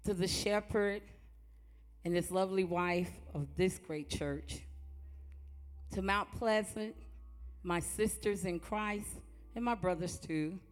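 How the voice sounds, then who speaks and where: A woman with a strong, loud voice, preaching and praying in a church sanctuary with a fervent, rhythmic cadence. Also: on the low side, takes it slowly, pauses often, faint music behind. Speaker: guest preacher. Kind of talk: church sermon and prayer. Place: church sanctuary before a congregation.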